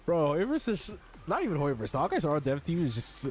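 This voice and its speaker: A man's voice: fairly high pitch, wildly swooping pitch, brisk. A man doing casual, profane gaming commentary, joking and reacting in slang. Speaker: gamer reacting to a story quest. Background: faint game music.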